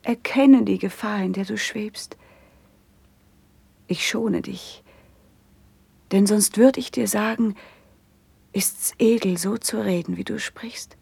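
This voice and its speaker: An actress playing a noble, gentle, sorrowful princess in a classical verse radio drama, speaking in hushed, earnest stage diction with a breathy voice. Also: female voice, medium pitch, slow, pauses often, no room sound, heavily acted. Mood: pained reproach.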